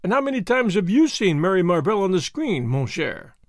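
A man's voice: high voice, very animated, moderate pace, no room sound, clean studio sound. A man voicing a fussy, vain Belgian detective with a French accent, pompous and precise in his speech. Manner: pompous, precise, self-satisfied. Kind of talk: audiobook character voice.

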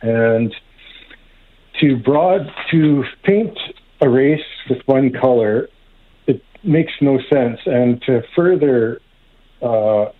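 A narrow, muffled telephone line carries a man's mid-pitched voice at a very slow pace. He is a radio caller who speaks haltingly and meanders, stopping and hesitating between short phrases. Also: expressive. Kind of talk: rambling phone-in comment. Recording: thin, band-limited phone line.

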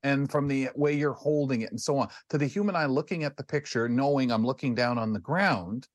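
A man's voice: medium pitch, brisk, no room sound. Questioning man in a dry studio sound. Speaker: show regular, co-host. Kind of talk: casual conversational discussion.

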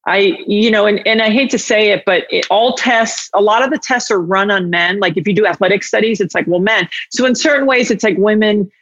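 A woman hosting a podcast interview, talking casually and haltingly, often interrupting herself, with an encouraging manner. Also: mid-range voice, fairly bright tone, dry recording. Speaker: podcast host. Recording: dry, slightly dull lo-fi sound.

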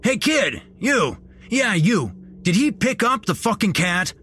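A man's voice: high voice, very animated, takes it slowly, sharp tone, a little hiss or hum, voice pushed up, exaggerated voice. A man voicing an overweight, hot-tempered, foul-mouthed store manager who barks angrily in a story. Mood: enraged, demanding. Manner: angry barking.

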